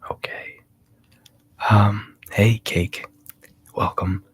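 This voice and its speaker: A man with a low, very husky voice hosts an ASMR livestream. He drifts between breathy whispering and hushed talk, rambling casually and hesitating as he goes. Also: little pitch movement, drawn-out words. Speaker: livestream host.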